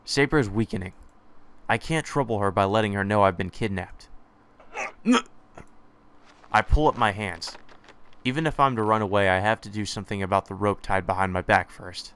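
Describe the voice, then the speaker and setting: Low-voiced man reading a visual novel. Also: moderate pace, long pauses, fairly bright tone, smooth. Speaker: let's play host reading a visual novel aloud. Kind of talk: reading game text aloud with asides.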